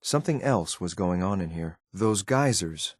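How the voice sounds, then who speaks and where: A low, close and dry male voice for a video game synth enforcer. He speaks in clipped, controlled phrases, coolly watchful and faintly disdainful of what is around him. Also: expressive, normal speed, no echo. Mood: detached, observant. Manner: clipped, matter-of-fact, controlled, faintly condescending. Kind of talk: video game companion voice lines.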